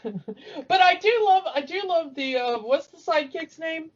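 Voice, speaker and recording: A woman's voice: very high-pitched, animated, measured pace. A woman hosting a podcast, chatting casually and enthusiastically about cartoons. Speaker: podcast host. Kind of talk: casual chat, cartoon commentary. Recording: dull lo-fi audio.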